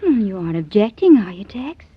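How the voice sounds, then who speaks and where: An actress playing a teasing, two-timing young wife in a 1940s radio drama. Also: female voice, mid-range voice, sing-song, brisk, breathy edge. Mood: coy. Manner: coy, teasing, giggly. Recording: tinny muffled old radio recording.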